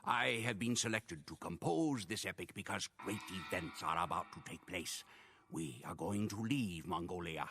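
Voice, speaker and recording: A man playing a solemn Mongol chronicler of the steppe, narrating a video game epic in a gravelly, theatrical, deliberate way. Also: low-pitched, animated, background noise. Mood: solemn, weary. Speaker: campaign narrator. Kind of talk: scripted dramatic epic narration. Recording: voice over a clear music bed. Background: game cutscene music.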